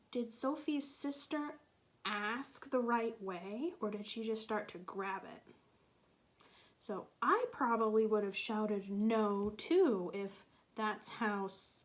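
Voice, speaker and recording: A woman with a medium-pitched voice reads a picture book aloud to young children at a slow pace. She talks like a teacher, stopping to ask simple questions and patiently explaining, with pauses left for answers.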